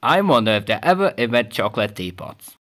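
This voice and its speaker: Cocky cartoon big brother played by a man, quick with wisecracks, middle register, light music underneath. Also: mid-range voice, very animated, a bit bright. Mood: wisecracking. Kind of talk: cartoon game fandub acting.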